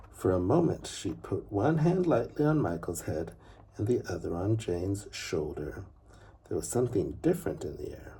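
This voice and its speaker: Man, low husky voice, animated storybook narration, dramatic pauses, very expressive pitch. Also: a little hiss or hum. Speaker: father reading a bedtime story.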